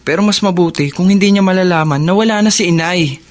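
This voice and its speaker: A man's voice: sits high, fast, natural voice. A man with a Filipino accent playing a troubled, guilt-burdened, protective older brother in a radio drama. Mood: guilty, sombre.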